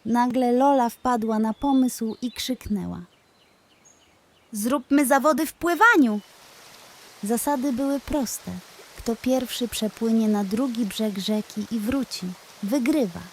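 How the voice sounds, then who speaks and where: Slow, high-voiced woman storyteller. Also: mellow. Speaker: children's story narrator. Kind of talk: scripted bedtime story narration.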